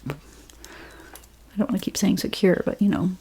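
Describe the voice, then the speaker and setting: A woman whispering in an ASMR role play as a hairstylist, gently talking through each step in a breathy, mostly unvoiced voice. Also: medium pitch, a little hiss or hum. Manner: gentle, unhurried, step-by-step personal attention. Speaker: ASMR creator playing a hairstylist.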